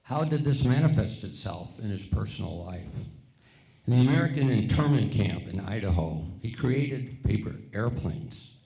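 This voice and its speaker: Deep, mellow man in an echoey room. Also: animated, creaky. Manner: earnest, deliberate, some stumbles and repeats. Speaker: master printer and panelist. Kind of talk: prepared panel talk. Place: museum event room before an audience.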